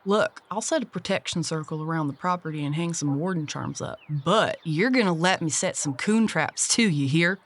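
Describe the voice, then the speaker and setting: An actress voices a gruff, seasoned female boss in an audio drama. Her voice is a bit low. She gives orders bluntly, with dry sarcasm and folksy slang. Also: normal speed, few pauses. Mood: firm. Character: gruff, sardonic Southern woman pest catcher, seasoned boss. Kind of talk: audio drama character acting.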